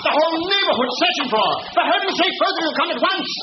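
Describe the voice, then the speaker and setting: A man's voice: very high voice, lively intonation, fast talker, over loud music. An actor playing a frantic father pleading for help in panic, in 1940s radio drama. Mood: panicked, urgent. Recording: echoing, very noisy, muffled radio recording.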